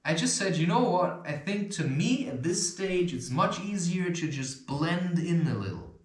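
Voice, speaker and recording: Echoey, slow-talking rambling man. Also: on the high side. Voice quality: occasional stutter. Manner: loose, swearing, chuckling, animated. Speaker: self-help video host. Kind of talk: rambling spiritual advice monologue. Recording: echoey room.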